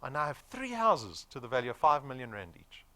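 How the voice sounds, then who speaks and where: A man's voice: mid-range voice, very animated, brisk, pushed and bright. A man of forty with a South African accent, giving a motivational business presentation in an emphatic, persuasive manner with rhetorical questions. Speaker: sales presenter.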